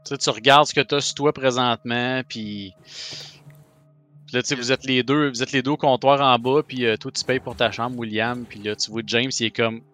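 A man's voice: medium pitch, fairly even intonation, normal speed, sharp tone, faint music behind. A man with a Quebec French accent, narrating as game master in a casual voice call, chatty, with many fillers.

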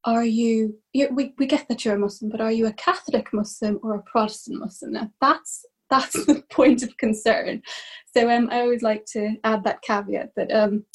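Chatty woman with a higher voice. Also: steady tone, normal speed. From Ireland. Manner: chatty, anecdotal, acts out quoted voices. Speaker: guest researcher. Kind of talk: panel discussion on a video call.

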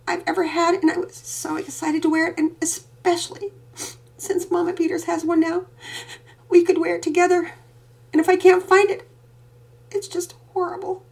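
Woman doing a tearful pleading little girl. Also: a little mellow. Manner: high, pleading, distraught.

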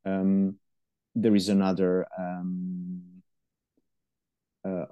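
A man's voice: sits low, monotone, drawn-out words, clean tone, no room sound, phone-quality sound. A middle-aged man who is not a native English speaker, answering interview questions about supporting university students, with many fillers and restarts.

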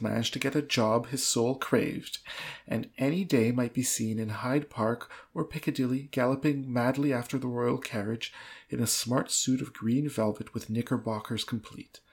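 A woman's voice: rumbling low, normal speed, husky. A woman co-hosting a podcast, reading a story dramatically and chatting casually with wry amusement. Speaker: podcast co-host.

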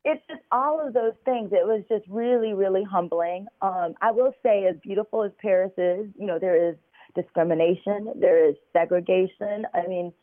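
A woman's voice: mid-range voice, normal speed, no echo. American woman over a tinny phone line. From United States. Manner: chatty, storytelling, many fillers. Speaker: guest. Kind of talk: conversational interview. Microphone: phone call.

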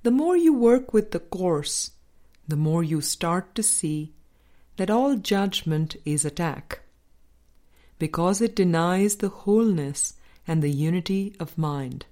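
A woman narrates a spiritual audiobook from a script. Her voice sits slightly low, and she reads very slowly and deliberately, leaving pauses between phrases. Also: lively intonation, warm tone. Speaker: audiobook reader. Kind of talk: scripted reading of a spiritual book.

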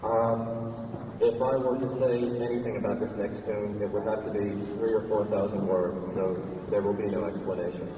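A man performer giving deadpan banter to an audience, recorded from afar in an echoey, dull, noisy hall. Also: fairly low pitch, moderate pace, distant mic. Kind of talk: dry stage banter.

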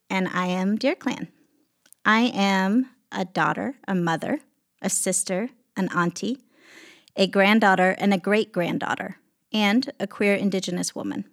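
A woman from Alaska speaking reflectively as an interview guest, in deliberate, measured phrases. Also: mid-range voice. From Alaska, United States. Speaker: podcast guest, executive director of an arts venue.

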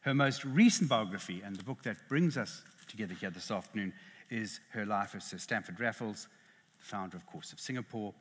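Middle-aged South African male panel chair, husky, self-deprecating, echoing hall. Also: on the low side, animated, a little fast. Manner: self-deprecating, hesitant, lots of restarts. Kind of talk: hosting a literary panel. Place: lecture hall. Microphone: headset. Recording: reverberant hall.

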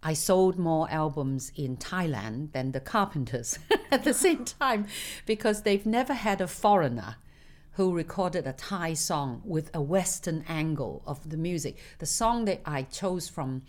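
Older woman with a low voice. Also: expressive, a little slow, darkish tone. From Hong Kong. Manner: anecdotal, laughs between stories. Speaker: singer interviewed as guest. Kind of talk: reminiscing interview.

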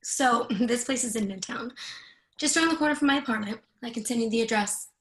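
An actress playing a coaxing, quietly confident woman in a dramatic screenplay reading. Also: fairly high pitch, a little fast, rough recording. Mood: soft, insistent. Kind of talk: dramatic table read.

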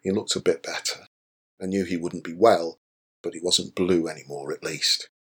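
A man's voice: deep voice, fairly even intonation, moderate pace, a bit bright, slightly rough. An actor playing a young, self-deprecating bar worker, telling his story in a conversational, wry, confiding radio drama monologue.

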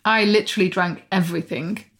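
A woman's voice: lowish voice, brisk. A woman, co-hosting a casual chat and telling a personal story with plenty of laughter. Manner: animated, laughs often while telling the story.

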